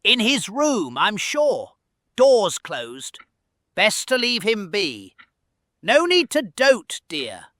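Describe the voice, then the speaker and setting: A woman playing a motherly, genteel, gently bossy housekeeper in an audio drama, polite and fussing. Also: mid-range voice, huge pitch swings, slow pace, no room sound, clean studio sound, full character voice. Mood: welcoming, fussing.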